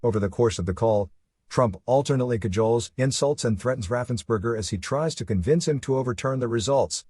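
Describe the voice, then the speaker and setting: A man reading a scripted news report in a formal, measured manner. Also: mid-range voice, darkish tone, breathy edge, no echo, studio quality. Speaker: news reader.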